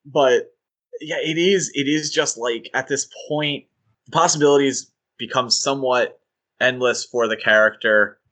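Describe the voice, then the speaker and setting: A man co-hosting a podcast, talking in a casual, animated, rambling way with fillers and restarts. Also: mid-range voice, unhurried, long pauses. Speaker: podcast co-host.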